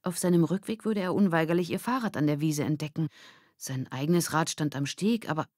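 A woman's voice: low-pitched, brisk, a little mellow, no echo, clean studio sound. A woman reading a children's novel aloud as scripted audiobook narration.

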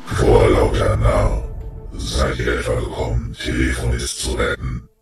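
A colossal demonic hellhound guardian, speaks slowly and scornfully in an extremely deep, gravelly rumble. The voice booms from far off in cavernous echo, with haughty old-fashioned menace for a fantasy audio play. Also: male voice, fairly even intonation, full character voice. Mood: menacing. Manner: slow, archaic, haughty address. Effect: pitched-down, booming reverb. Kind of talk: fantasy audio drama acting. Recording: heavy echo, distant, loud music.

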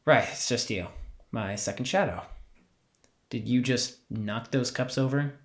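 A man playing an awkward, sheepish young retail worker in an audio drama, with a halting, casual, wry delivery. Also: medium pitch, close to an everyday voice. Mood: nervous, wry. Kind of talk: naturalistic scripted audio drama dialogue.

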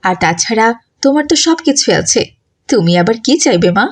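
A woman voicing a kind, patient schoolteacher in a cartoon, gently giving moral instruction. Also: fairly high pitch, lively intonation, normal speed, breathy edge. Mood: patient, instructive. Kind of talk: cartoon moral-tale dialogue.

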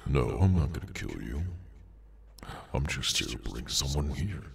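A man voicing a weary, menacing demonic henchman in an ASMR audio roleplay, sardonic and low-key, with a gravelly voice. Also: big pitch swings, crowd noise, exaggerated voice. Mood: matter-of-fact menace. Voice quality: very deep, gravelly, creaky. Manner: slow, low-key, casually sinister, sardonic.

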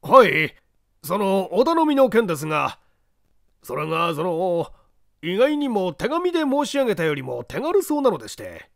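Castle owner for mystery audiobook, played by a man: courteous, gushing gentleman, high animated voice, deferential phrasing. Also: moderate pace, gravelly, dry recording, clean studio sound, heavily acted. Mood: hesitant, flustered. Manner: deferential, gushing, hesitant politeness. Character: effusive, polite gentleman owner of an old castle. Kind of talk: audiobook character dialogue.

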